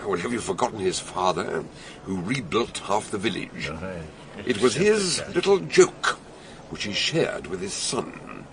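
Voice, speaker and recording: A man's voice: lowish voice, very animated, unhurried, crowd noise. An older British actor playing an eccentric, brilliant detective doctor who narrates and explains his case in a radio drama, theatrical and emphatic. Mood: emphatic explaining.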